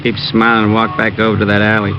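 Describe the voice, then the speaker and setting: Man acting a fast-talking menacing gunman. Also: fairly low pitch, completely flat delivery, background noise, lightly acted. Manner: curt, tough-talking. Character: menacing gunman, curt and impatient. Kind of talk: old-time radio crime drama acting. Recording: muffled old radio recording.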